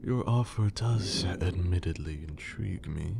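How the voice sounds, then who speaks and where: A man playing a haughty, menacing vampire lord in an ASMR roleplay, with condescending, theatrical speech and a breathy, creaky voice. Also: sits low, noisy background. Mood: aloof, intrigued. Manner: slow, condescending, theatrical, archaic wording.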